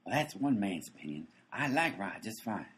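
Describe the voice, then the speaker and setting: An actor playing a gruff, bossy sandwich-shop owner in a comedic radio play. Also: male voice, on the low side, sing-song, moderate pace, fairly bright tone. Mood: grumpy.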